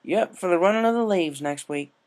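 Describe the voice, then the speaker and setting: A man's voice: sits high, a little fast, darkish tone, voice pushed up, lightly acted. A man voicing a stubborn, caring cartoon farm pony, a mare with folksy country phrasing. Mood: casual, resigned.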